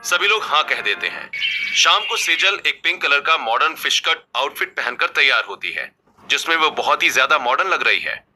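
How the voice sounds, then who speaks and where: A man's voice: a little high, lively intonation, normal speed, bright, forceful tone, soft background music. A man reading scripted narration for a family drama story as its narrator.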